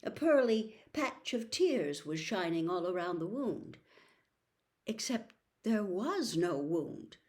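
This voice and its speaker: A woman narrates a storybook in a dramatic style. Her voice sits at a medium pitch, and she reads slowly and expressively, leaving pauses for suspense. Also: very animated, darkish tone. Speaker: audiobook reader, host.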